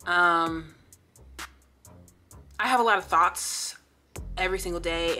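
A woman's voice: fairly low pitch, sharp tone. A woman in her late twenties from the Pacific Northwest, chatting to camera in a sassy, playful, blunt way. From Pacific Northwest, USA. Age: 20s. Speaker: vlogger. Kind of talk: casual story-time chat to camera.

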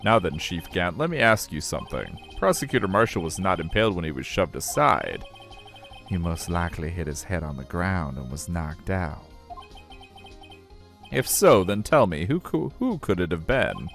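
A man's voice: low-pitched, very animated, normal speed, creaky, fairly bright tone, soft background music, exaggerated voice. A man voicing an earnest, determined young defense lawyer in a courtroom game, firm and accusing.

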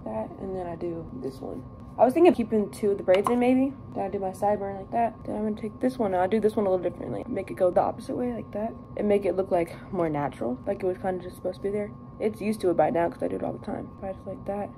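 A woman's voice: mid-range voice, quick pace. Young American woman vlogging over room noise. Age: young adult. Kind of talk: casual get-ready-with-me vlog chat. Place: hotel room, indoors. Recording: noisy room ambience.

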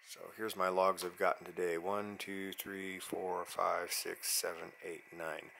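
A man's voice: sits low, unhurried, creaky, poor audio. An American man in his forties narrating a casual vlog, offhand and half talking to himself. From United States. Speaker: vlogger, amateur radio hobbyist. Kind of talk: casual vlog narration while operating radio. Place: unfinished basement with metal shelving. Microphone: handheld camera mic at arm's length. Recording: steady background noise, handheld camera audio.

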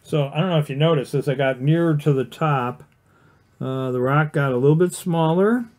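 Mellow-voiced man teaching watercolor painting. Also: on the high side, normal speed. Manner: chatty, rambling, full of fillers and restarts; talks while painting, plain and encouraging. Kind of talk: casual livestream painting demonstration. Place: indoor studio with overhead camera on the painting desk.

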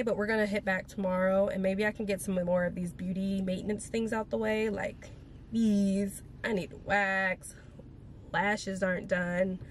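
Chatty woman vlogger, noisy background. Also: medium pitch. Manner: girly, informal, chatty asides to viewers. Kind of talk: casual chatty vlog.

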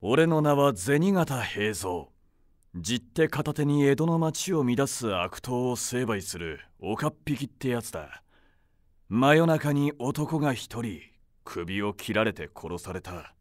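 A man playing a brash, hot-headed young Edo thief-taker in a comic period radio drama, speaking rough slang with theatrical swagger. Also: mid-range voice, sing-song, takes it slowly, no echo, clean studio sound. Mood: tough, resolute.

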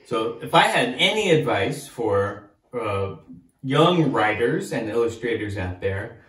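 Bright-voiced man, children's author. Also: mid-range voice, sing-song, slow pace, reverberant, poor audio. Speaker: children's author reading his book. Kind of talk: animated storytelling and chat to children.

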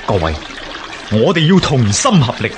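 An actor with a Hong Kong Cantonese accent playing an earnest, emphatic rebel officer in a historical radio drama. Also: male voice, medium pitch, big pitch swings, moderate pace, noisy background, natural voice. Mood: indignant. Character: earnest male rebel officer of ancient China.

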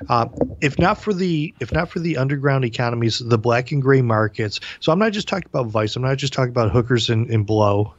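A man's voice: medium pitch, lively intonation, a little fast, no echo. Wry joking male co-host, lo-fi. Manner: wry, joking, stammers on repeated words. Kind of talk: political talk show discussion.

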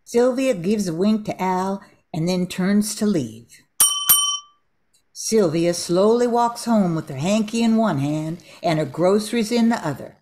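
A woman's voice: medium pitch, animated, a little slow, warm tone, a little hiss or hum. An older woman reading scripted narration for an amateur radio play.

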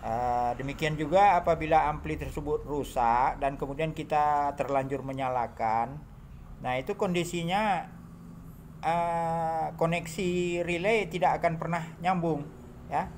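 A man narrating an informal hands-on demo, halting and muttering to himself as he works, recorded indoors on a handheld phone. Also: fairly high pitch, slight background noise. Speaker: hobbyist electronics builder demonstrating circuits. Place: indoor home workbench.

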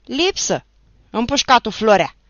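A reader voicing a hot-tempered young village teacher who barks roll-call names and marching orders at full shout. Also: medium pitch, sing-song, dry recording, lo-fi sound, pitched above the performer's own voice. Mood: barking orders. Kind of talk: audiobook narration.

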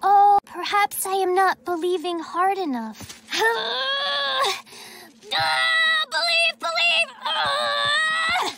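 Naive alien superhero girl, cartoon, slow-paced, very high, formal stilted speech, sweet temper. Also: raised voice, background noise, exaggerated voice. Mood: distraught. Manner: stilted, formal, uncontracted phrasing.

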